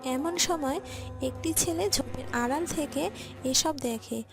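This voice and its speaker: A female narrator with a very high voice tells a cartoon story from a script. Music plays clearly underneath her narration. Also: measured pace.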